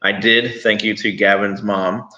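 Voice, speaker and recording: A man's voice: on the low side, flat delivery, measured pace, pushed and bright. A man teaching third graders online, giving informal, conversational instructions to his pupils. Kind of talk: informal online read-aloud and assignment talk to pupils. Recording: dull lo-fi sound.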